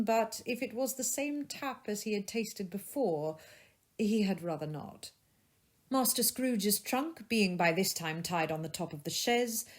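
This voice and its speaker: Expressive woman narrating classic fiction. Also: fairly low pitch. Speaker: audiobook narrator. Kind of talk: expressive scripted literary narration.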